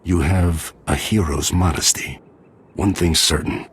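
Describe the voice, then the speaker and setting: An actor playing a formal, measured officer with a gravelly voice in a video game. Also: male voice, deep voice, steady tone, heavily acted. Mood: grateful, measured. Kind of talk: video game cutscene acting.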